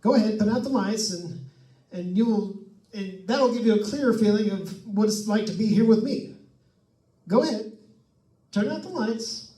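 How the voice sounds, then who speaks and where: A man's voice: sits high, lively intonation, moderate pace, long pauses, dark tone. An actor playing a nervous, wisecracking man alone in a haunted house, chatting to listeners in an old-time radio suspense style. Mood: hushed, confiding. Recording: echoey room, distant mic.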